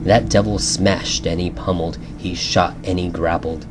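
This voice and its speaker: A man's voice: sits low, steady tone, normal speed. A man narrating a rhyming bedtime storybook as a storyteller, in an ASMR style. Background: background music.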